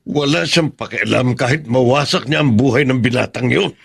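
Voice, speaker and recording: Radio drama casting: disapproving middle-aged dad, gravelly fry in the voice, stern. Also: male voice, medium pitch, normal speed. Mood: indignant. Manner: stern, indignant. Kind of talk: Filipino radio drama acting.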